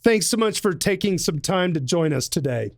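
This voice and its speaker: A man's voice: high-pitched, lively intonation, moderate pace, no echo. A man hosting an interview stream, chatty and upbeat, laughing easily with his guest. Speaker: host. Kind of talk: casual interview chat.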